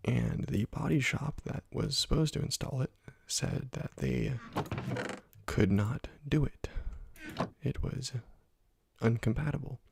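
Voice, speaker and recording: A young man hosting a gaming ASMR video, talking softly and slowly, often dropping to a whisper, with frequent ums. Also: mid-range voice, gravelly, slight background noise. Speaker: gaming ASMR channel host. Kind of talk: soft-spoken ASMR gameplay commentary.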